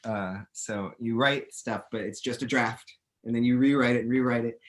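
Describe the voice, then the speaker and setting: A middle-aged man chatting casually as an author answering questions, thinking aloud and often restarting his sentences. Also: medium pitch. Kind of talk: casual chat, explaining to students.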